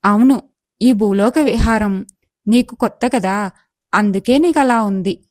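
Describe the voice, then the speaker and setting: A woman playing a wise, patient elder goddess in a moral story, calm, instructive and reassuring. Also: medium pitch, slow, dry recording, clean studio sound, natural voice. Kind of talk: acted moral story dialogue.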